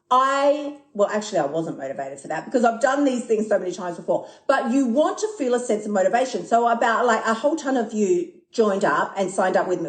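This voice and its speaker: Excitable woman in her fifties. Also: on the high side. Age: 50s. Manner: excitable, swears often, laughs a lot. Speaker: motivational live-streamer. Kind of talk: casual live-stream talk. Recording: echoey room.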